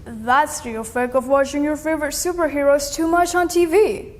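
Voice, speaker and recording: A woman voicing a gentle, supportive storybook father, speaking emphatically and reassuringly to his son. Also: sits high, fairly even intonation, moderate pace, long reverb tail, lightly acted. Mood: amused.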